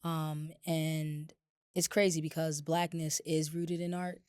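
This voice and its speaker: A woman co-hosting a podcast, a little raspy from a cold, talking casually and rambling with lots of fillers. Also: sits low, slow pace, dry recording, studio quality. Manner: relaxed, rambling with many fillers. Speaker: podcast co-host. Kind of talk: casual chat.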